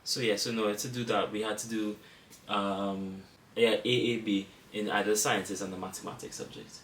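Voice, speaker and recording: Young Trinidadian man, low and easygoing. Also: normal speed, far from the mic. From Trinidad and Tobago. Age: young adult. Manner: relaxed, rambling, self-correcting. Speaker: guest student. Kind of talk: casual chat. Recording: echoey room, off-mic, faint room noise.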